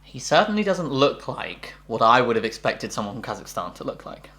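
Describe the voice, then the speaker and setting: A man's voice: medium pitch, big pitch swings, a little fast, a bit bright. Dry British man with music behind. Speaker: co-host of a reaction channel. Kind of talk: casual chat, reading out facts.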